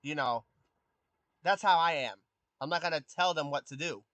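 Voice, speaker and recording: A man of twenty chatting casually with his live stream viewers. He has a youthful voice that has not deepened, and he hesitates often with ums and restarts. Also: moderate pace, fairly bright tone, dry recording. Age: 20s. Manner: hesitant, many ums and restarts, answers chat questions.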